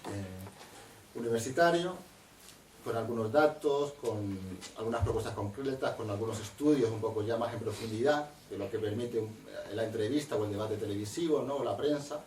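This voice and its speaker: Middle-aged Spaniard, historian moderating a talk, discursive academic delivery, distant mic in an echoey hall. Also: male voice, on the low side, a little mellow. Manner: measured and discursive, with frequent tag questions. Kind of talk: academic introduction of a guest speaker. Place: university library lecture room. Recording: echoey, distant room recording with faint noise.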